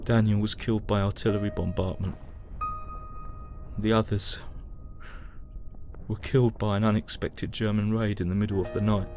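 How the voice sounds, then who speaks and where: An actor playing a weary, grieving young British soldier, narrating his war journal in a solemn, measured, mournful way. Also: lowish voice, level tone, natural voice. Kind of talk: dramatic first-person journal narration. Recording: music behind the voice. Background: music.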